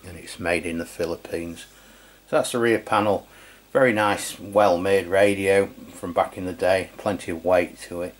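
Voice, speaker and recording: Deep-voiced middle-aged man reviewing gear. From England. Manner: informal, matter-of-fact. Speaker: radio review channel host. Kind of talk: casual hands-on product review commentary.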